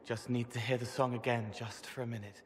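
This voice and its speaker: Man playing a gentle, otherworldly spirit lad. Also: medium pitch, little pitch movement, a little fast, in a large hall, slight background noise, natural voice. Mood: gentle, cryptic. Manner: cryptic, fragmented, poetic phrasing. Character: gentle, otherworldly young male spirit boy.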